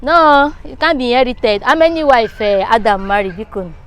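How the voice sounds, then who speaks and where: A woman's voice: a little high, moderate pace. A Nigerian woman arguing her opinion casually and emphatically. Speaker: interview respondent. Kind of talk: casual debate, slipping into Pidgin.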